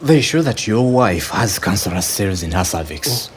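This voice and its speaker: Radio drama doctor , male actor: Ugandan accent, grave and hesitant, lively intonation, clear background noise. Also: on the low side, normal speed, close to an everyday voice. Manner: hesitant, explanatory. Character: grave, careful male doctor breaking bad news.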